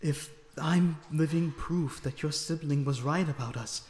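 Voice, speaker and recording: A man playing a lonesome, remorseful, gentle vampire prisoner in an audio roleplay, speaking in an earnest, halting, emotional way. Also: a little high, close to an everyday voice. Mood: bitter, urgent. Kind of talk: dramatic audio roleplay acting. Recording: echoey reverberant dungeon-like sound, background noise.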